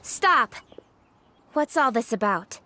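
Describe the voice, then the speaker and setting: A woman's voice: fairly high pitch, very animated, slow pace, sharp tone, slight background noise, exaggerated voice. A woman voicing a poised, confident young female official in a video game, formal and articulate in manner. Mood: composed, assured.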